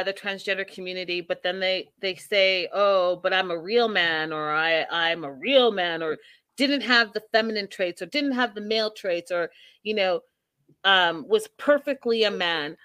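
A woman's voice: medium pitch, fairly bright tone, clean tone, dry recording. A woman hosting a casual live discussion, thinking aloud with many fillers and restarts. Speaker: host.

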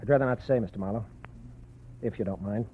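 A man's voice: fairly low pitch, quick pace, very noisy, close to an everyday voice. An actor playing an earnest, anxious young man from a small town who pleads for help, in 1940s radio acting. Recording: tinny old radio broadcast.

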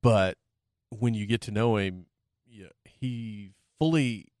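A man's voice: fairly low pitch, slow, darkish tone, no room sound, clean studio sound. A man reflecting in casual chat, trailing off often and swearing now and then.

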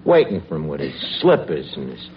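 An actor playing a wisecracking, scheming working-class tavern manager in a 1940s radio comedy, with sardonic, hesitant patter. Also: male voice, very deep voice, huge pitch swings, brisk, heavily acted. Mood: scheming, persuasive. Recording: muffled, very noisy old radio broadcast.

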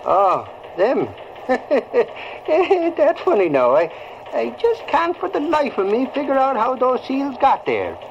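An actor playing a folksy, slow-witted, chuckling tough guy in 1940s radio style. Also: very high-pitched, wildly swooping pitch, gravelly, full character voice. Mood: amused, sheepish. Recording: muffled, noisy old radio recording.

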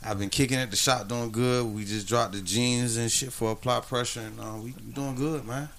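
A man's voice: medium pitch, fairly even intonation, fairly quick, gravelly, a bit bright, a little hiss or hum. A man, talking loosely and casually as a podcast host, full of slang. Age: 30s. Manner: loose, slangy, laid-back storytelling. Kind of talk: casual chat.

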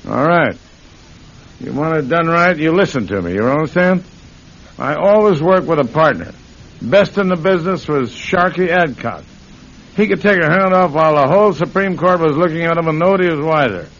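A man's voice: fairly high pitch, expressive, normal speed, fairly bright tone. A man playing a cocky middle-aged American con man and thief in an old-time radio crime drama, slangy, brash and bossy. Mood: firm, bossy. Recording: muffled, hissy old radio broadcast.

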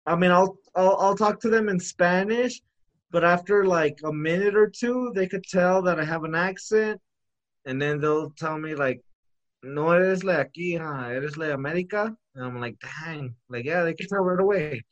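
High-voiced man with a Mexican American accent. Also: normal speed, highs cut off. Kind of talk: casual conversation.

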